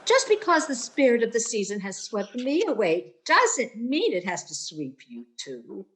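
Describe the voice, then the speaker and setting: A woman's voice: on the high side, huge pitch swings, measured pace. A woman playing a motherly older landlady in a radio sitcom, wheedling and guilt-tripping with martyred self-effacement. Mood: coyly martyred.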